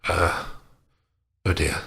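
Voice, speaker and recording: A man's voice: extremely slow, pushed and bright. A man chatting casually as a player in a tabletop role-playing game. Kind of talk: casual game chat and in-character talk.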